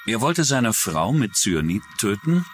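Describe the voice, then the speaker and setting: Low-pitched narrator, lively male delivery. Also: unhurried, a little hiss or hum. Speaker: documentary narrator. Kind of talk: scripted true-crime narration.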